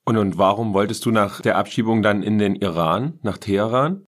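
A man with a fairly low voice co-hosts the podcast. He puts direct, probing questions to the guest. His manner stays matter-of-fact. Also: moderate pace. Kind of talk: interview questions.

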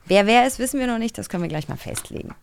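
A woman's voice: a little high, animated, rapid-fire, gravelly, slight background noise. A woman hosting a podcast, chatting casually in a chummy, slangy way with English exclamations mixed in. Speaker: podcast host. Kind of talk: casual chat.

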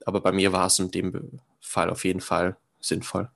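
A man's voice: low voice, completely flat delivery, brisk, creaky, fairly bright tone. A man in his twenties answering interview questions about his business in an earnest, businesslike way. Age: 20s. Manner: earnest, businesslike, laughs at his own remarks. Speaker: young real estate business owner, guest.

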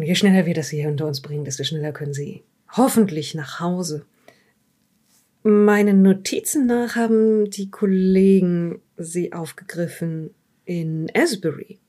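A woman playing a polite, patient police interviewer in an improvised audio drama, courteous and a little halting. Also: lowish voice, mellow. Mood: inquisitive.

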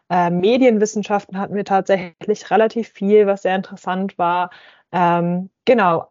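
A woman's voice: mid-range voice, warm tone, no room sound. Female guest, twenties, from Hamburg, casual interview talk, dry and slightly muffled sound. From Hamburg, Germany. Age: 20s. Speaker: student founder, guest.

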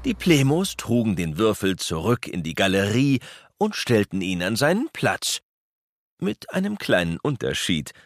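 A man narrating a children's audio play as a scripted storyteller, expressive and suspenseful. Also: fairly low pitch, unhurried, dry recording, studio quality.

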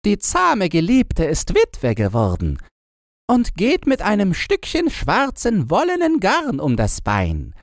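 A man's voice: sits high, huge pitch swings, takes it slowly, dry recording, clean studio sound, voice pushed up, exaggerated voice. A man voicing a brash, cheeky young robber girl in a fairy-tale audiobook, blunt, teasing and bossy. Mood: blunt, gossipy. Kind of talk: storybook character voice in an audiobook.

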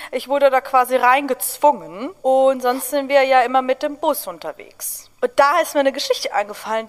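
A woman's voice: high-pitched, big-room sound. A woman podcast co-host casually telling a personal anecdote in a chatty, informal way.

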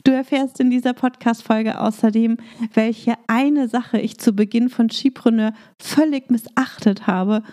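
Warm-voiced German woman podcast host. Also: on the high side. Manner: upbeat coaching tone, with fillers in free talk. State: recovering from a cold, voice slightly rough. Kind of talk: scripted intro then casual monologue.

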